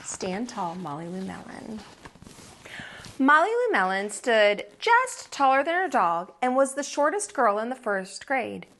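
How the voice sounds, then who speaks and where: A woman teacher reading a children's picture book aloud, expressive and slow, with deliberate pauses. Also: on the high side, a little hiss or hum. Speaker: teacher and storyteller.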